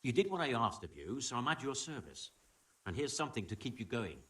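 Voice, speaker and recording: A man acting an eager, plain-spoken master blacksmith in a medieval video game, with brisk old-fashioned turns of phrase. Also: medium pitch, very animated, far from the mic, loud music behind the voice, natural voice. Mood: sceptical, accepting.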